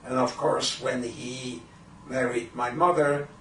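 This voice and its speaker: An elderly man from Poland in his eighties, a Yiddish speaker, recalling his early life in a halting, deliberate way for an oral history. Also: medium pitch, fairly even intonation, a bit bright. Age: 80s. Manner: halting, deliberate, frequent throat clearing. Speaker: Holocaust survivor giving testimony. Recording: roomy, distant microphone with faint noise.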